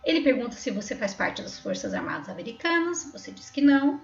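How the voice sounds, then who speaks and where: Woman, Brazilian São Paulo accent, high voice, patient tutorial explainer, roomy slightly muffled sound. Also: very animated, brisk, slight background noise. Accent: Brazilian Portuguese, São Paulo. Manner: patient and explanatory, often checking in with the viewer. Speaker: tutorial host. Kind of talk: step-by-step screen tutorial voice-over. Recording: roomy, slightly dull recording.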